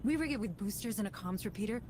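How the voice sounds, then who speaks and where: A woman's voice: mid-range voice, expressive, fast talker, noisy background, lightly acted. A woman playing a determined, earnest colonial administrator in a video game cutscene, speaking persuasively. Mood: urgent, pressing. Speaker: game voice actor. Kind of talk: dramatic game cutscene dialogue.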